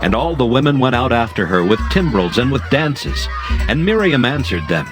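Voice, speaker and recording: A man with a mid-pitched voice narrates scripture as formal storytelling. His delivery is solemn yet moves expressively up and down, with faint music behind. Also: very animated, moderate pace.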